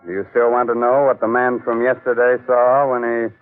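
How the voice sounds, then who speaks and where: A man's voice: mid-range voice, flat delivery, moderate pace, soft background music. A man announcing and hosting in the style of 1940s radio, with scripted, theatrical narration. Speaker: radio show host and announcer. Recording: muffled old radio broadcast.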